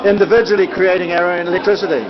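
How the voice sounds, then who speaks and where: Older NZ man, chatty rambler, a touch high-pitched, lo-fi recording in a packed room. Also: steady tone. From New Zealand. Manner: chatty, meandering, many filler pauses. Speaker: live-streaming videographer. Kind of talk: rambling casual chat. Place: busy indoor boutique at an event. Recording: dull lo-fi audio with loud crowd.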